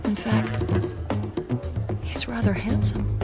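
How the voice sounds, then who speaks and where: An actress playing a curious, wary woman adventurer in a radio drama, musing in an understated, measured way. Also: mid-range voice, very animated. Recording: loud music behind the voice.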